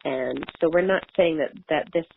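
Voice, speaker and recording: A woman's voice: low-pitched, vocal fry. Woman presenting over a narrow phone line. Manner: halting, often repeating and rephrasing words. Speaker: evaluation consultant presenting results. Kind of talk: informal presentation of report findings on a conference call. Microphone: telephone on a conference call. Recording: narrow phone line, faint noise, clicks.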